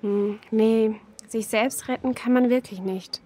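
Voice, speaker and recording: A woman playing a gentle, patient grown-up who comforts and explains carefully to children in an audio drama. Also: on the high side, steady tone, unhurried. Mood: gentle, serious.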